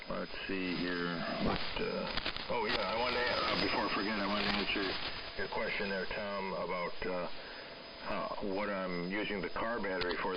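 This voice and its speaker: Deep-voiced man over very noisy AM radio. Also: dull audio. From United States. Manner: halting, thinking aloud. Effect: AM shortwave radio transmission. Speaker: amateur radio operator. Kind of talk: casual ham radio chat. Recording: very noisy AM radio, distant sound.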